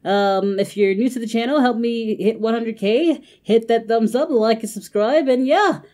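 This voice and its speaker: A woman's voice: on the high side, normal speed, warm tone. A woman hosting a reaction video in casual commentary, exclaiming and often repeating her phrases. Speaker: host of a reaction channel.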